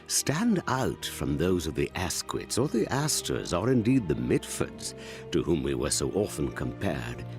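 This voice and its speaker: A man's voice: extremely low pitch, wildly swooping pitch, unhurried, heavily acted. An actor playing a vain, elderly English aristocrat narrating his memoirs in a pompous, wordy manner for a radio comedy. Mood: grandiose reminiscing. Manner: pompous, wordy, self-regarding. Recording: narration over music.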